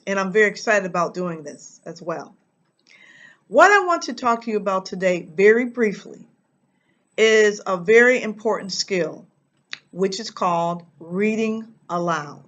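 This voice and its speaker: An American woman giving an instructional talk as a reading teacher and host, speaking emphatically and deliberately with dramatic pauses. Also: mid-range voice, fairly bright tone.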